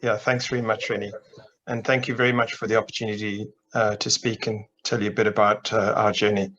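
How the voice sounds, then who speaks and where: A middle-aged man from Zimbabwe on a video call, explaining his work in a measured way with frequent restarts. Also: fairly low pitch, level tone, a bit bright, highs cut off. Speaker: investment manager guest. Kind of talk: interview answers on a video call. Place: home office with plain wall. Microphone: webcam on video call.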